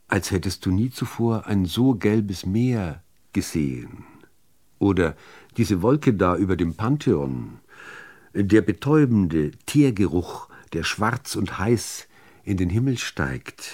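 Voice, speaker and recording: Slow, expressive man reciting poetry. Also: lowish voice. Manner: measured, deliberate phrasing with expressive emphasis. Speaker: reader of poems.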